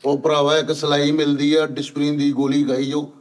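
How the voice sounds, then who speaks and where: A man's voice: on the high side, flat delivery, moderate pace. A man who gives folksy home advice in casual chat.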